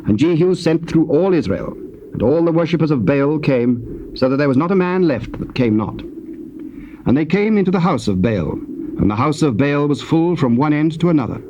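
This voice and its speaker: A man narrating dramatized scripture in a solemn, measured storytelling manner. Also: on the high side, expressive. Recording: dull, noisy old cassette tape.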